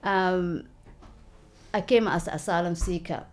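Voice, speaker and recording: Woman, 40s, lowish pitch; earnest interviewee, halting delivery. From Somalia. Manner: earnest, halting, many fillers and restarts. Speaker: local election candidate. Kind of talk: interview, personal story.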